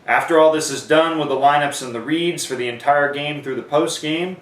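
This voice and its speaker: A man's voice: fairly high pitch, fairly quick, a bit bright. A man giving an informal, conversational how-to lesson, explaining things step by step. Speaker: sports announcing instructor. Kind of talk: instructional how-to talk with demonstrations. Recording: echoey room, distant microphone.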